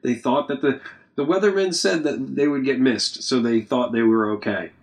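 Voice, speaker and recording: A young man chatting casually, rambling with many ums and uhs and trailing off quietly. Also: medium pitch, moderate pace. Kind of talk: casual podcast chat.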